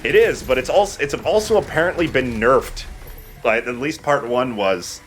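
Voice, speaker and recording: A man's voice: mid-range voice, normal speed. A man, a young adult, hosting a Let's Play with casual, rambling, jokey game commentary. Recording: clear steady background noise.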